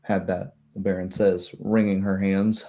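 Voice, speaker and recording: A man runs a tabletop game as its game master. His voice is relaxed and fairly low, and he improvises his narration with some hesitation. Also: a little slow.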